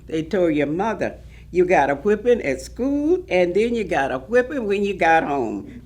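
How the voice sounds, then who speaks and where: A woman acts out an elderly Southern grandmother telling her life story on stage. Her voice sits low but rises and falls dramatically. She is proud and emphatic, leaning on key words as a storyteller does. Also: a little mellow, slightly rough, heavily acted. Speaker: stage actress. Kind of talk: staged reading of oral history testimony.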